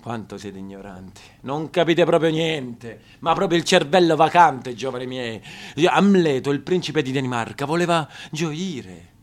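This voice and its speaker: A man's voice: on the high side, expressive, moderate pace. A male stage actor performing a theatrical monologue, swinging between scolding the audience and telling a story.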